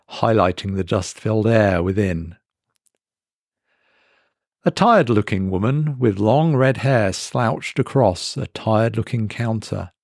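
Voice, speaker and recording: A man reading a scripted horror story as a dramatic narrator, measured and deliberate with pauses for effect. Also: lowish voice, a little mellow, no echo, clean studio sound.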